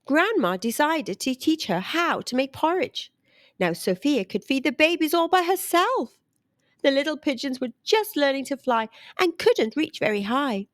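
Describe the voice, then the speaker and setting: A woman reading a children's storybook aloud in an expressive storytelling style. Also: high-pitched, moderate pace, no room sound, clean studio sound.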